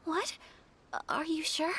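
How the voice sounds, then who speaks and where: A woman playing a polite, gentle young noblewoman in an anime game, speaking in a courteous, earnest, formal manner. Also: extremely high pitch, steady tone, slow pace, slight background noise, heavily acted. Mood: sincere, courteous.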